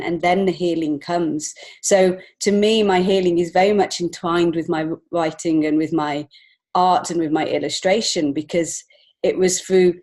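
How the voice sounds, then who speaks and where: A woman's voice: fairly low pitch, a little mellow. A woman in her forties with an English accent, telling her personal story as a podcast guest in an earnest, reflective way. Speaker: podcast guest, children's author and illustrator.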